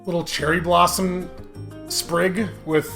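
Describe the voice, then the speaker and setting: A man hosting a casual, comedic let's play, talking in an excitable, jokey and animated way. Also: sits high, takes it slowly, vocal fry. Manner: laughs often while talking. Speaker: host. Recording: music behind the voice. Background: video game music.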